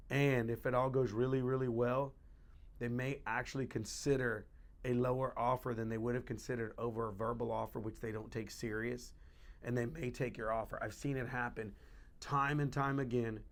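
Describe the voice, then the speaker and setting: A man speaking as a real estate coach, talking straight to fellow agents in a blunt, emphatic and informal way. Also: medium pitch. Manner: blunt, emphatic, repeats points; informal, colloquial.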